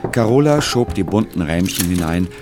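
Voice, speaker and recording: Very deep-voiced brooding narrator. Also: male voice, expressive, moderate pace. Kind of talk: literary radio drama narration. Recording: studio narration under loud music.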